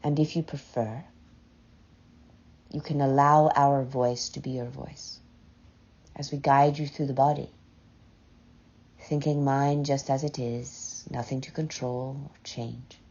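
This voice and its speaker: A woman guides a meditation in a mellow, dark, husky voice. She speaks softly and very slowly, leaving long pauses between short, gentle phrases. The recording sounds slightly muffled. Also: extremely low pitch, steady tone. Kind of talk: slow, soothing guided meditation.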